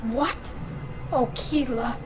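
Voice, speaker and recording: A woman's voice: fairly high pitch, takes it slowly, noisy background. A woman playing a young alien engineer in a video game, earnest, emotional and fiercely loyal. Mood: shaken. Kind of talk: video game dramatic acting.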